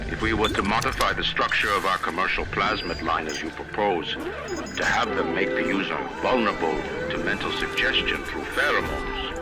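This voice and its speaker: A man acting as a proud, imperious video game tycoon who rules his own city. His voice is low and his delivery is formal and pompous, like measured oratory. Loud music plays beneath him. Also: natural voice. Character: imperious male industrialist ruler of an undersea city, cold and proud. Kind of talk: theatrical monologue in a video game.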